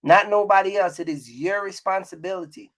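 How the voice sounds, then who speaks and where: A man with a distinctly high voice talks to his viewers as a vlog host. His delivery is casual and colloquial, full of opinion. He leans on repetition to press each point emphatically. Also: steady tone, fairly quick, a bit bright. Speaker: YouTube host, trucking business creator.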